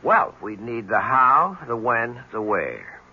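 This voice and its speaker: A dogged, gravelly newspaper reporter. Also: male voice, medium pitch, wildly swooping pitch, sharp tone, exaggerated voice. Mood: probing. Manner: probing, quick questions with stammered repeats. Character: curious male newspaper reporter, persistent. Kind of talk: 1970s radio drama acting. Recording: muffled old radio broadcast with hiss.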